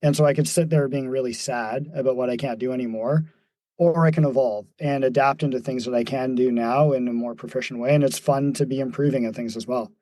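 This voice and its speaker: Casting: man in his 40s, warm mellow tone, dry close sound, thoughtful and halting. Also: medium pitch, steady tone, no echo, rough recording. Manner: thoughtful, many fillers and restarts, laughs easily. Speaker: podcast guest, mountain runner. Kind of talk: reflective casual conversation.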